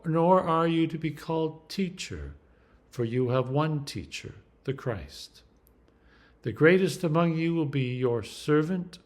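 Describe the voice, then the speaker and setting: A man, an Anglican priest, reading scripture aloud in a slow, deliberate and emphatic way. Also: a little high, a little mellow.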